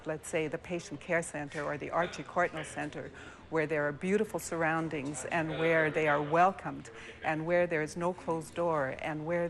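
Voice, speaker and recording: Clear crowd chatter sits behind a woman. She answers like a medical expert on live news, earnest and a little halting. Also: sits low. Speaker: physician, clinical director, interview guest.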